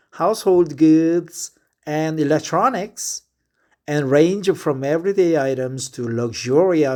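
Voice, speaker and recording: Slow, hesitant Korean man. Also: on the high side, darkish tone. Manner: hesitant, many filler sounds. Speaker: vlogger. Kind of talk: casual spoken diary with reading aloud.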